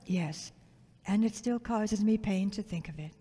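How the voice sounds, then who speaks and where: A woman playing a fond, gently teasing older mother in an audio drama. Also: mid-range voice, measured pace, husky. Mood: tender. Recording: long echoey reverb.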